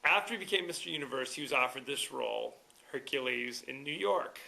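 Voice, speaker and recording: A man's voice: medium pitch, expressive, brisk, gravelly, sharp tone, rough recording. A man hosting a movie podcast alone, talking casually in a wry, rambling way with chuckling asides. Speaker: podcast host. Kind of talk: casual solo movie review.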